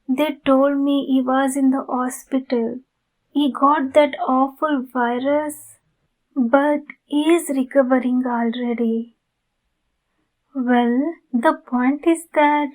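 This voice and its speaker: A woman voicing a kind, polite vampire neighbor in an ASMR roleplay, gentle and apologetic in manner. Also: high-pitched, poor audio.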